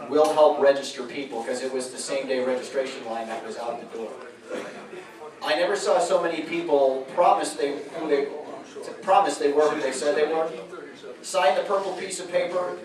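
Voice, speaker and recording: A man, a radio host emceeing a public meeting, telling stories in a folksy way with chuckling asides. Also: fairly high pitch. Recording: echoey room from a distance.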